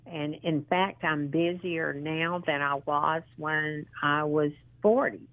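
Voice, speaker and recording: A woman in her sixties with a low voice talks slowly over a tinny phone line. She is earnest and encouraging. She often stops with ums and starts her sentences over. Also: steady tone, no echo. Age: 60s. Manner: halting, with frequent ums and restarts. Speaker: interview guest, model and actress. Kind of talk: casual phone interview.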